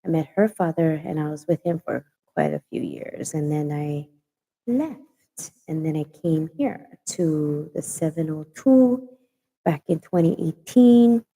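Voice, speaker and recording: A woman, hosting a casual live-stream chat, halting with many fillers and restarts. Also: low-pitched, animated, dark tone, big-room sound, poor audio. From Hawaii. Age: late 40s.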